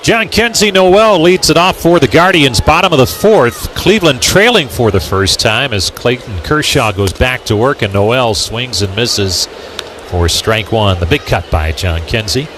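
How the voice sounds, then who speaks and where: Male baseball announcer, animated pitch, radio play-by-play, noisy outdoor ballpark behind him. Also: measured pace. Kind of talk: live sports commentary. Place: ballpark broadcast booth. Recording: ballpark outdoor background noise. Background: ballpark ambience, noise.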